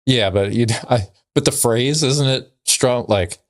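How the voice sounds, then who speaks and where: A man's voice: mid-range voice, unhurried, no room sound, clean studio sound. A man co-hosting a podcast in casual comedic banter, often questioning and teasing. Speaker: podcast co-host.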